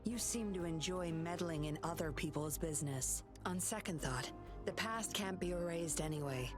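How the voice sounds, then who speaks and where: Woman acting as sly game puppeteer woman, low voice, composed, cool and teasing delivery, music underneath. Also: normal speed, lightly acted. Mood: cool, commanding. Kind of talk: scripted video game voice acting.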